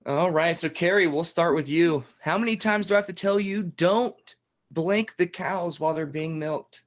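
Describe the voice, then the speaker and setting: A man's voice: fairly high pitch, normal speed, lightly acted. A man playing a genial game show host who teases contestants in an improvised comedy parody. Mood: upbeat.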